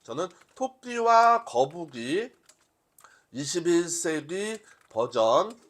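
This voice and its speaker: Man teaching with very lively pitch. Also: drawn-out words. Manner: conversational teaching, asks and answers own questions. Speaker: workshop instructor. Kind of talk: live software demonstration to a class.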